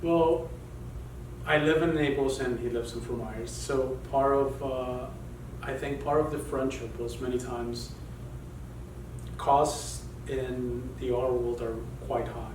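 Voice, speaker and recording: A man's voice: mid-range voice, steady tone. Reflective Colombian-born man, distant roomy sound. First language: Spanish. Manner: reflective, halting, many restarts. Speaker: artist guest. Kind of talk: casual interview talk. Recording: roomy and noisy, mic far off.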